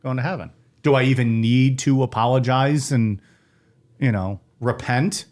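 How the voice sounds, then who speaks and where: A man's voice: medium pitch, moderate pace, a little mellow. A man from Ohio hosting a podcast, chatting casually, joking and ranting with frequent laughs. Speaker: podcast host. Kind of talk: casual chat.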